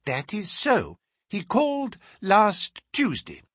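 A man voicing an excitable elderly male collector, eager and breathless. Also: high voice, slow pace, slightly rough, no room sound, studio quality, pitched above the performer's own voice, full character voice. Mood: mild. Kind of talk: audiobook character reading.

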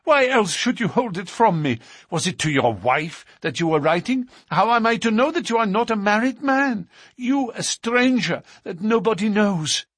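A man's voice: sing-song, a little slow, a bit bright, slightly rough, no room sound, studio quality, exaggerated voice. A man voicing a frightened, devoted young woman who pleads urgently in a raised voice, in an audiobook reading. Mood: accusing. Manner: high, tearful, urgent pleading.

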